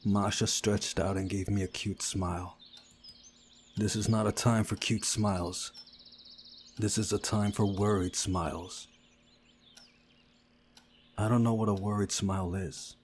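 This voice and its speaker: A man reading a visual novel aloud as a playthrough narrator, in a deliberate storytelling manner. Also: fairly low pitch, flat delivery, slight background noise.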